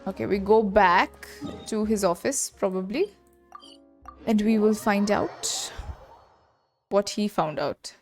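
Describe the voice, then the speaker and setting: A woman's voice: medium pitch, slow pace, long pauses, darkish tone, soft background music. A woman giving casual, reactive commentary as she streams a playthrough of a game.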